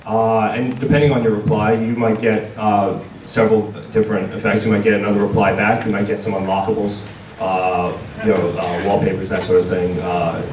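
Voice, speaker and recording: A man giving an informal convention panel presentation in a hesitant, halting way. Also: lowish voice, flat delivery, crowd noise. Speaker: panel presenter for a game publisher. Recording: distant mic in echoey, noisy room.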